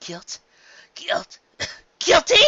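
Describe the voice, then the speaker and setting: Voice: very slow, sharp tone, no echo, highs cut off. A reader voicing a younger pony princess, hot-tempered and anxious, who speaks with great emphasis. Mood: enraged, incredulous. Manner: stammering, emphatic outbursts.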